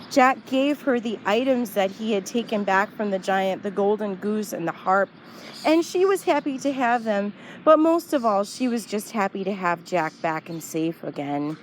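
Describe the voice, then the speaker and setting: A woman storyteller narrating for young children in an expressive, emphatic way, often leaning on repeated words. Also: on the high side, slight background noise.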